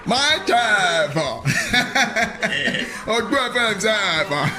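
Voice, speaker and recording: An actor with a Nigerian accent playing a gloating, mocking political schemer in a radio drama, chuckling and drawing words out. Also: male voice, sits high, very animated, sharp tone, faint music behind, exaggerated voice. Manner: chuckling, drawn-out, taunting.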